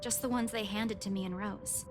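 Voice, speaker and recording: An actress playing a prickly but caring young office worker in a visual novel, wry and insistent. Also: female voice, mid-range voice, fairly even intonation, moderate pace, background noise, close to an everyday voice. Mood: hesitant, firm. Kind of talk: visual novel voice acting, dialogue. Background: music.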